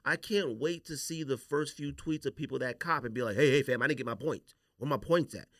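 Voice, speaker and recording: A man hosting a podcast, talking casually with slangy, opinionated, animated delivery. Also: mid-range voice, brisk, no echo, clean studio sound. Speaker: podcast host. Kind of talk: casual sneaker podcast debate.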